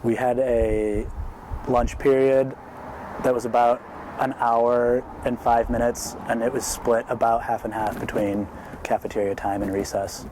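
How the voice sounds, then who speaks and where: A man's voice: mid-range voice, flat delivery, unhurried, noisy background. A young man from Ohio, a university student, answering in a matter-of-fact way. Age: young adult.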